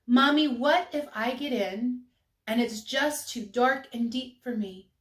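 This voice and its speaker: Lady doing a little boy penguin from a picture book, high n eager, timid kid type, echoey room. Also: slow pace. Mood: worried. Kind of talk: storybook read-aloud character voice.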